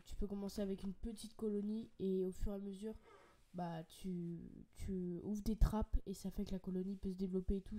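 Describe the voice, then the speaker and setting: A woman's voice: medium pitch, mellow. A young woman with a French accent and a bit of a cold, chatting enthusiastically as she walks through a website, full of fillers and restarts. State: has a cold, a bit congested. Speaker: ant-keeping channel host. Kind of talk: casual screen-share product walkthrough.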